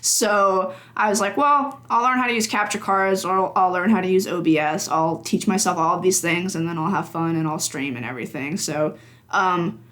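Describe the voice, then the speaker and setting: A woman's voice: fairly low pitch, moderate pace, a bit bright. A woman in her early twenties, a podcast guest chatting casually and rambling, laughing often between her thoughts. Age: early 20s. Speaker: podcast guest, games journalist. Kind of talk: casual chat.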